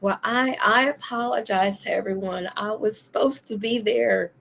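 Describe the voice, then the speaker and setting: A woman hosting a casual adult chat show, hoarse and deep-voiced from being sick, laughing and coughing as she talks. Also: steady tone, moderate pace, a bit bright, a little hiss or hum. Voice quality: deep, rough voice. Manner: laughs often, coughs between lines. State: hoarse from illness. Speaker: host.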